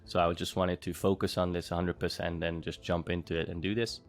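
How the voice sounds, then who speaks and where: A man's voice: low-pitched, level tone, fairly quick, soft background music. A man from Hungary in his thirties answering interview questions in a casual, thoughtful way, with frequent fillers and restarts. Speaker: interviewee, former bootcamp student.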